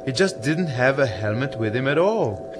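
A man's voice: medium pitch, animated, moderate pace, background noise, lightly acted. An actor playing a sombre, dutiful astronaut crewman in a radio drama, deferential and earnest. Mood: earnest, baffled.

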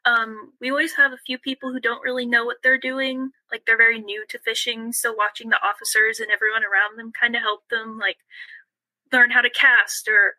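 Brief: young woman club member, enthusiastic guest, bright and slightly high voice. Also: little pitch movement, a little fast, dry recording, low-quality recording. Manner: enthusiastic, repeats "awesome". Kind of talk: podcast interview answers.

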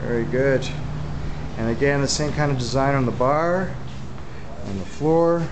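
A man hosting a casual walk-through tour of a waterfront restaurant and bar, talking into a handheld camera. He sounds friendly and admiring, with plenty of fillers. Clear outdoor noise sits under his voice. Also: mid-range voice, a little slow. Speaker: tour host filming a restaurant.

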